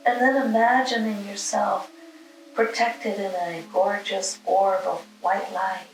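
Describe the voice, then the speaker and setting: A woman leading a guided meditation, giving soothing, gentle instructions in short, spaced phrases. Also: medium pitch, level tone, slow pace, creaky. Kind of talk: guided meditation, spoken slowly with long pauses. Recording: roomy, distant mic, music underneath.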